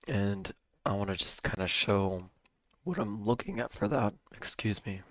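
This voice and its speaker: A man hosting a live day-trading stream, hoarse and losing his voice, talking casually with a cough drop in his mouth and clearing his throat. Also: lowish voice, measured pace, a bit bright, no echo. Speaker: livestream day-trading host.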